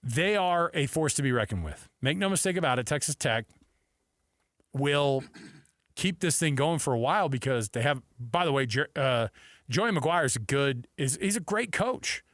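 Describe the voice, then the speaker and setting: A man's voice: medium pitch, expressive, moderate pace, fairly bright tone, no room sound, clean studio sound. A man hosting sports talk radio, giving opinions emphatically and repeating his points for weight. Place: radio studio.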